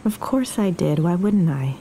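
Tender young woman in love, very mellow, lowish pitch, intimate, audio drama. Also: animated, unhurried, slight background noise, rough recording. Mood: wry, guarded. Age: young adult. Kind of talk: naturalistic audio drama acting.

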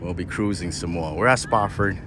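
A man's voice: deep voice, a little fast. A middle-aged man casually narrating his sailing trip, talking into a phone held at arm's length while out on a small sailboat on a lake. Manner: relaxed, chatty, laughs easily. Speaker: sailing vlogger. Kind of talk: casual vlog narration and voiceover. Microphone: phone held at arm's length, no wind muffler. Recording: wind and water noise outdoors.

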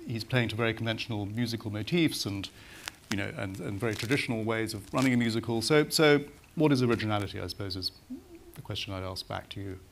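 A man's voice: lowish voice, fast, creaky, a little hiss or hum. Thoughtful middle-aged man on a panel. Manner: thoughtful, searching for words, many restarts. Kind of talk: festival panel discussion. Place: large marquee with audience.

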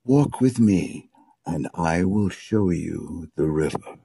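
A kindly storybook raccoon, with a very low, dark voice. He takes his time, sounding husky and reassuring as he guides a smaller creature. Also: expressive, takes it slowly, heavily acted. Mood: calm, reassuring. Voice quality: gravelly, husky. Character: large, kindly, slow-talking male raccoon guide. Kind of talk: storybook character acting.

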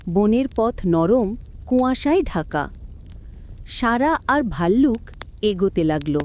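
Slow, expressive woman storyteller. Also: on the high side. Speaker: story narrator. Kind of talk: scripted cartoon storytelling.

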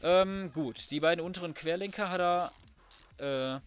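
Higher-voiced guy muttering gameplay commentary. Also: normal speed. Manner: colloquial slangy thinking aloud, mutters to himself. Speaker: let's player. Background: background music.

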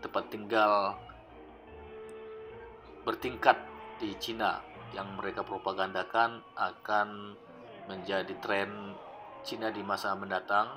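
Man, low voice, relaxed explanatory voice-over, hesitant fillers, music underneath. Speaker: travel vlogger narrating his old footage. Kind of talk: casual voice-over narration. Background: background music.

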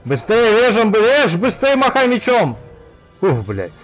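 A man commenting casually as he plays a video game, with very lively, jumpy pitch. He swears freely and gets worked up easily, over faint music. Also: unhurried. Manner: foul-mouthed, excitable. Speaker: gamer playing a fantasy game. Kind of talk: casual let's play commentary.

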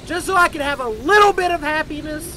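Dramatic, very high-voiced man, noisy recording. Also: fast talker, pushed and bright. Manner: emotional, dramatic, repeats short exclamations. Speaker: gaming video creator. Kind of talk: improvised first-person video game roleplay.

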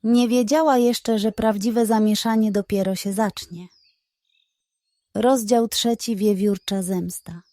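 A woman reading a scripted children's story as an audiobook narrator, in an expressive storytelling style. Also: mid-range voice, slow pace, no room sound, clean studio sound.

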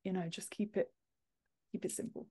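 Mellow-voiced woman explaining things in an interview. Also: normal speed. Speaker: podcast guest, book formatter. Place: home office, indoors, on a video call. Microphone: wired earphones on a video call.

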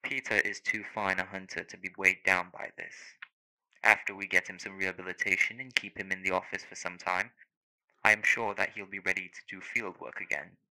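A man's voice: low voice, flat delivery, fairly quick, sharp tone, full character voice, low-quality recording. A man playing a composed secret agent, giving formal, clipped reports in an audio drama. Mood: businesslike. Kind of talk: audio roleplay acting.

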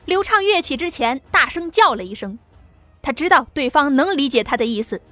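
A woman reading a science fiction novel aloud as an audiobook narrator, in a steady, vivid storytelling manner. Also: high voice, a little fast, faint music behind.

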